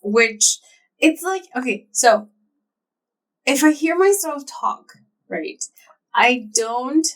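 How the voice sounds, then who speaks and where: A woman's voice: high voice, animated, slow pace, long pauses, poor audio. A woman co-hosting a podcast, chatting casually and agreeably, often backing her partner up with "yeah". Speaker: podcast co-host. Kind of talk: casual chat. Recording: voice a bit off-mic.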